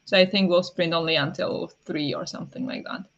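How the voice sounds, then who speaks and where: A woman hosting a casual morning livestream from home, chatting, apologizing and greeting viewers, with many fillers, soft laughs and a voice that sometimes turns raspy. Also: fairly low pitch, steady tone, brisk, lo-fi sound. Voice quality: sometimes raspy, habitually clears throat. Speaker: livestream host.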